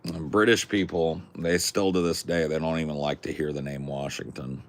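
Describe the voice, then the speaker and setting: A man's voice: very deep voice, little pitch movement, moderate pace. A young man from California with a Californian accent, chatting casually in a rambling, jokey, crude way. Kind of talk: casual chat.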